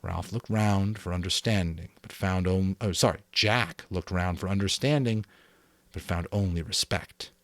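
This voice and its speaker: A man's voice: sits low, moderate pace, no room sound. A man narrating a novel as a dramatic audiobook reading, then chatting casually to his viewers with fillers. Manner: expressive reading, casual rambling asides with fillers. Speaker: audiobook narrator and channel host.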